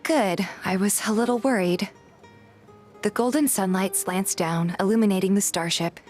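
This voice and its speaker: A woman narrates a scripted romantic story in the first person, reading both description and dialogue. Her pitch sits in an average female range, and music plays behind her. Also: normal speed, a little mellow. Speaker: narrator and voice actor of a fan dub.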